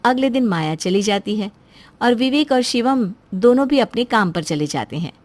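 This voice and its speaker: A woman's voice: a little high, expressive, normal speed, darkish tone, dry recording. A woman storyteller reading a scripted moral story as narration.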